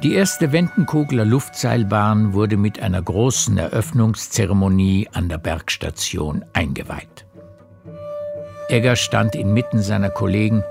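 A man narrating a radio play as a literary storyteller, with measured, deliberate phrasing. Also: on the low side, vocal fry, over music.

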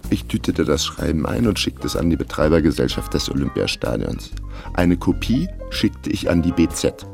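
Wry broke young guy narrating his radio crime story, deep gravelly voice, dry n laconic, bit of music under. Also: big pitch swings, normal speed, darkish tone, exaggerated voice. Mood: wry, defiant. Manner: dry, laconic, self-ironic. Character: wry broke young Berlin man telling his own misadventure. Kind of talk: first-person radio play narration.

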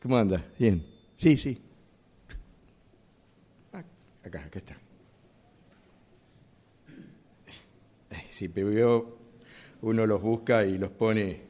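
An actor playing a flustered, evasive driver in a radio theatre exercise, stammering and repeating himself. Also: male voice, on the low side, measured pace, long pauses, dark tone. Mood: nervous, obliging. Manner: stammering, repetitive, hesitant. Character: flustered, evasive male driver pleading with police. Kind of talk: improvised radio theatre monologue.

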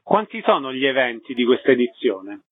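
A man's voice: mid-range voice, big pitch swings, moderate pace, husky, dry recording. A man hosting a radio interview, introducing the guest and putting questions to him. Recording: narrow-band, phone-like radio sound.

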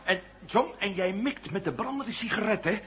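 A resourceful man in command in a vintage sci-fi radio play. His voice is fairly high and very animated, and he hands out brisk, conspiratorial orders. The old recording carries faint noise. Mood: excited. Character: resourceful commanding male leader in a sci-fi adventure. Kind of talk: 1970 Dutch radio drama acting.

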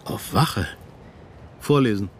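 An actor playing a stern, suspicious East German army captain in a German radio drama, clipped and sarcastic, with a gravelly, husky voice. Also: male voice, fairly low pitch, animated, slow pace, a little hiss or hum. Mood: suspicious, commanding.

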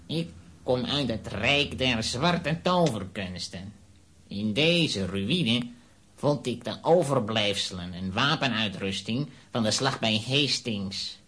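An actor playing a sinister, boastful sorcerer villain in a children's audio drama, speaking in measured, menacing pronouncements. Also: male voice, mid-range voice, fairly bright tone, husky, heavily acted.

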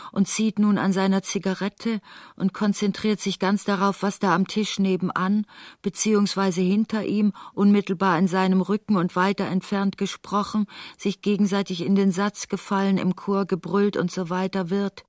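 A woman narrating a literary radio play in a measured, articulate way, voicing its long speeches. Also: mid-range voice, darkish tone, no echo, studio quality, natural voice.

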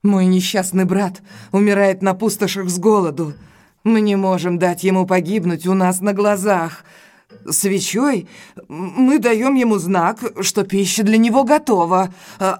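A woman's voice: medium pitch, unhurried, a little mellow, lightly acted. An actress playing a middle-aged, earnest and distraught housekeeper pleading for her family in an audio drama. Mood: confessing. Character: stout frightened housekeeper, loyal, pleading, sorrowful older sister. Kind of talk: Russian audio drama acting.

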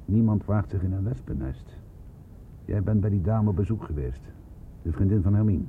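A man's voice: on the low side, moderate pace, a little hiss or hum, natural voice. A man playing a dry, worldly-wise police inspector in a radio play, probing and sardonic, with terse questions. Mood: confidential, warning. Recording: phone-like thin radio play recording with music.

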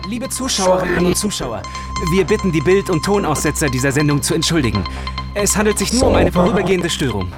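Tv news guy, formal and measured, a bit high for a man, loud music under. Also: huge pitch swings. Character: polished male TV news anchor. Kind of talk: news reading in radio drama.

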